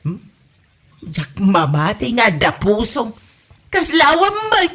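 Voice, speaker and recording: A woman's voice: on the high side, huge pitch swings, slow, fairly bright tone, slightly rough, full character voice. A woman playing an older Ilocano widow in a comedy drama, coy, huffy and given to teasing exclamations. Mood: indignant. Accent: Filipino, Ilocano.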